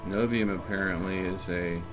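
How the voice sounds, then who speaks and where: A man's voice: sits low, fairly even intonation, normal speed, music in the background. A man livestreaming a video game in casual chat, rambling and thinking aloud as he plays. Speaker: live streamer playing a video game.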